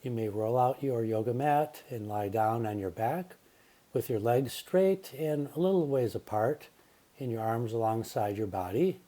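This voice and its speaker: Man, very dark relaxed tone, calm yoga nidra teacher, gentle guided relaxation, unhurried phrases with pauses. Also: medium pitch.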